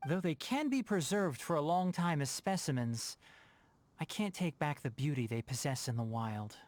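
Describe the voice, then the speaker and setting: A man voicing a gentle, thoughtful young botanist with polite, measured speech in a video game. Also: on the high side, expressive, close to an everyday voice. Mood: wistful. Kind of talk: scripted game voice acting.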